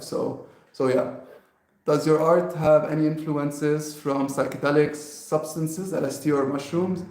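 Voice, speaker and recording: A man's voice: a little high, darkish tone. A man from Lebanon, a native Arabic speaker, answering viewer questions on a casual live stream in an informal, hesitant way. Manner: informal, hesitant, chuckling often. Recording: very echoey, reverberant room.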